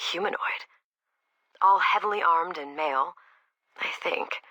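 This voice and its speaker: An actress playing a wry, candid research scientist recording conversational audio logs for a video game, sardonic, informal and chatty. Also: female voice, fairly low pitch, bright, forceful tone, no room sound, poor audio. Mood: wry, dry.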